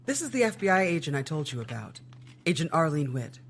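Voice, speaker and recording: A male government agent in an audio drama. His voice is a little high for a man, and he reports in a formal, measured, matter-of-fact way. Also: very animated, fairly bright tone, exaggerated voice. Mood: businesslike.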